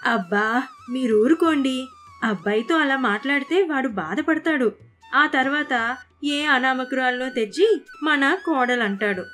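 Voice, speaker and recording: Fussy middle-aged cartoon mother played by a woman, scolding, high voice, over music. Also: measured pace, a bit bright. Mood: exasperated, protective. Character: fussy, doting middle-aged Indian mother, easily exasperated. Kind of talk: moral story cartoon voice acting. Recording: constant background music.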